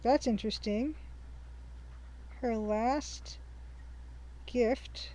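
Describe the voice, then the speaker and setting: A woman's voice: a little high, dark tone, no echo, rough recording. Chatty woman thinking aloud on stream. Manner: thinks aloud, reads game lines back slowly while taking notes. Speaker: livestreaming artist and game developer. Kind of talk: casual livestream commentary.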